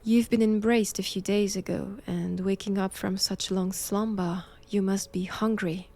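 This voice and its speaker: A woman with a French accent playing a cold, haughty nun in an intimate roleplay audio drama, speaking deliberately and often dropping toward a whisper. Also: mid-range voice, fairly even intonation, vocal fry, warm tone. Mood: clinical, commanding. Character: cold, imperious nun examining a captive vampire.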